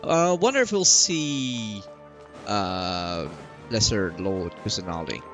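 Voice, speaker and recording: Very low-voiced, animated woman gamer. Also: takes it slowly. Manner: giggly, informal, rambling. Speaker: gaming video host. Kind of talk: casual gameplay commentary. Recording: faint game music behind.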